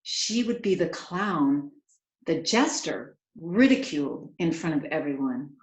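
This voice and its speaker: A woman reading a picture book aloud with expressive, dramatic storyteller phrasing, drawing out key words. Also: deep voice, a little slow, roomy sound. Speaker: children's author reading aloud.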